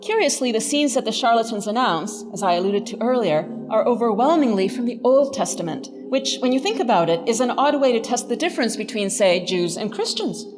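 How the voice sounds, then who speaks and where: A woman's voice: a little high, animated, brisk, hardly any pauses. A woman giving a scripted academic lecture as a professor, with a wry, emphatic manner. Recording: reverberant hall, music underneath.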